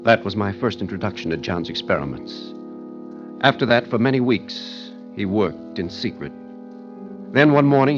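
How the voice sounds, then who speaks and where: A man's voice: mid-range voice, lightly acted. A man playing an anxious, grave radio thriller brother. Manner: grave, hesitant, dramatic. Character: anxious, weak-willed brother recounting a horror. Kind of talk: old-time radio thriller narration and acting. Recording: muffled old radio recording with music.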